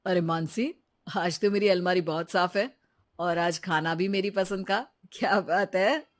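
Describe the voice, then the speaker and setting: A woman's voice: on the low side, a little slow, natural voice. A woman voicing a young husband with a casual, direct manner in a cartoon moral story. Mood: pleased. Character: husband, casual, blunt young married man.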